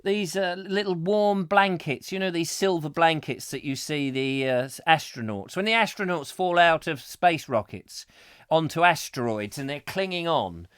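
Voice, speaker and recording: Smooth-voiced comic host. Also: male voice, on the high side, normal speed, a bit bright, no echo, studio quality. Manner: jokey, digressive, lots of fillers and silly asides. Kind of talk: rambling comic solo chat. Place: small studio.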